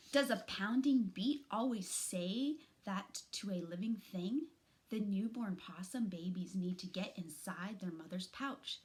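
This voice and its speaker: A middle-aged woman reading a children's storybook aloud in an expressive, dramatic, deliberate way. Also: mid-range voice. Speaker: teacher reading aloud to students. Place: small furnished room at home, indoors.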